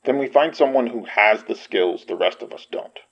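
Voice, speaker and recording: A blunt, sardonic detective for a podcast drama. His voice is creaky and gravelly, recorded with a slightly muffled tone. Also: medium pitch, animated, moderate pace, a bit bright. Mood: insistent. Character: gruff, skeptical male detective. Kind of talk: audio fiction podcast acting.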